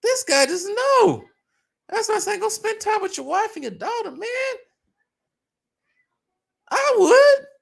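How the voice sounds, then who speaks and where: A man rants about basketball in a scornful, mocking way, his voice starting to go hoarse. The sound is rough and gravelly. His pitch leaps from low mutters to high, pleading cries. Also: big pitch swings, takes it slowly, long pauses, fairly bright tone. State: going hoarse. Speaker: sports commentator. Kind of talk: ranting basketball commentary.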